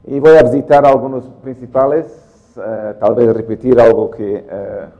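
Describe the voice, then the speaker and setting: A middle-aged man with an English accent giving a technical lecture in halting Spanish, full of "em" fillers. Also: mid-range voice, fairly even intonation, warm tone, highs cut off. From England. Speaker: guest speaker.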